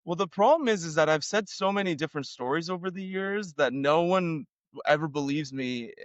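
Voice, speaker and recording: A young man from Argentina, a podcast guest telling a story in a relaxed, self-deprecating way with lots of fillers. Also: fairly high pitch, brisk, no echo, highs cut off. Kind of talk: casual storytelling.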